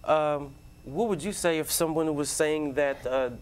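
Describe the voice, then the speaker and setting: A man's voice: fairly high pitch, a little slow, smooth. A man hosting a joking studio panel chat, teasing his guests and laughing often. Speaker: panel show host.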